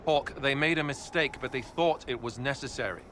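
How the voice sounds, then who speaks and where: A man's voice: mid-range voice, a little fast, a bit bright, a little hiss or hum, lightly acted. An actor playing a grave male warrior knight who speaks earnestly and seriously.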